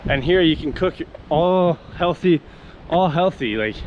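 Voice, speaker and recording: A young man from Canada rambles enthusiastically on camera as he walks along outdoors, full of fillers and restarts. His voice sits a little high for a man. Faint wind and passing traffic hiss under the handheld camera recording. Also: lively intonation, a little slow, muffled recording. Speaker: vlogger. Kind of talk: casual walk-and-talk vlog. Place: outdoors on a coastal town street.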